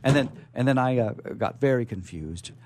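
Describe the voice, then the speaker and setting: A man hosting a live radio show in a casual, effusive and somewhat halting way. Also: mid-range voice, expressive, darkish tone, a little hiss or hum. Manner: effusive, hesitant, repeats himself.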